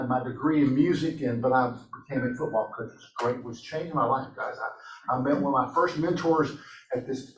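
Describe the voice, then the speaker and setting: A man leading a team meeting in a meeting room, upbeat and encouraging, asking the room questions. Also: on the high side. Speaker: real estate office leader running a team meeting. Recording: echoey room, from a distance, faint noise.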